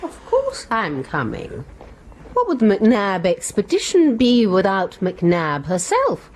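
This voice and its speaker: An actress playing a brisk, independent Victorian lady explorer with an English accent and a commanding, haughty manner in a radio comedy-adventure. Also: medium pitch, huge pitch swings, darkish tone. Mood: defiant. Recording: noisy radio broadcast with ambient effects.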